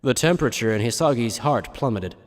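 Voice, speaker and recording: A man's voice: mid-range voice, expressive, a little fast, long reverb tail. A man reading scripted narration for an anime audio drama.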